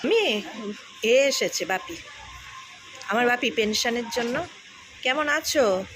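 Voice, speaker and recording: A woman's voice: fairly high pitch, expressive, takes it slowly, a bit bright, faint music behind. A woman chatting casually and informally on the go, as in a daily family vlog. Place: out and about in streets and shops. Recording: noisy handheld vlog audio.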